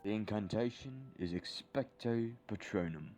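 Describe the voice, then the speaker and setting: A grave wizard teacher played by a man with a low voice, patient and careful in guiding a pupil. It is audio drama acting with faint music underneath. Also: normal speed, a little mellow, close to an everyday voice. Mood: grave, instructive.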